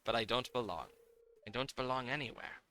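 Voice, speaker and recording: Man voicing a soft, wistful, lonely boy. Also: mid-range voice, close to an everyday voice. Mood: lonely, sad. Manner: soft, halting, simple speech. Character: lonely, wistful young island boy raised by birds. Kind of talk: reading video game dialogue aloud.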